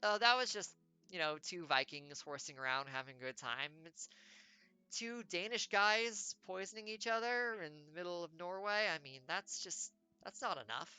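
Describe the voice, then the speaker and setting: Woman streamer reading a text adventure, joking around, low but bright and clear voice. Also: lively intonation, no room sound, faint music behind. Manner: playful, wry, quick asides. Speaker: game streamer. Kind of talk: reading a text adventure aloud with jokey asides.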